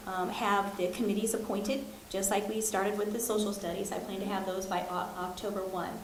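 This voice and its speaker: A woman's voice: medium pitch, echoey room, noisy background. A woman chairing a board meeting, speaking with frequent ums and uhs. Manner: halting, many ums and uhs. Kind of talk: formal meeting remarks.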